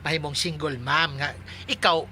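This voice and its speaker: An actor playing a campy, excitable party-loving friend in a Cebuano radio drama, with a Cebuano accent and exclamatory delivery. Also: mid-range voice, normal speed, a little hiss or hum. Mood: teasing.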